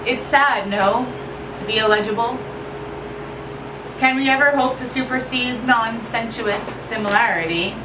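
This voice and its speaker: A woman poet giving an expressive live poetry reading at an evening event. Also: a little slow. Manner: expressive, shifting pitch and pace for effect. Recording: roomy echo from far off with noise.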